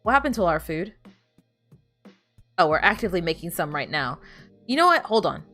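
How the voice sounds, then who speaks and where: A woman's voice: on the low side, big pitch swings, normal speed, bright, forceful tone, soft background music. A woman whose first language is Spanish, chatting casually with viewers while she plays a video game, rambling and often restarting her sentences. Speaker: streamer.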